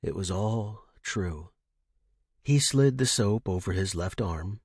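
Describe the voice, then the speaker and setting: Man narrating pulp fiction, husky and dry. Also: mid-range voice, no room sound.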